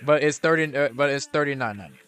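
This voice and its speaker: A man's voice: medium pitch, very fast, fairly bright tone, clear, resonant tone. A young man streaming a video game, chatting casually and rambling with restarts and fillers. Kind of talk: casual gameplay commentary.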